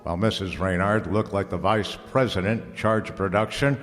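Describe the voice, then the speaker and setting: Casting: low, wry gumshoe played by a man, laconic wisecracks, radio noir style, music and hall reverb. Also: fairly quick, natural voice. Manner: deadpan, wisecracking. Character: wry, laconic male private detective narrating his case. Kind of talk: old-time radio detective narration and acting.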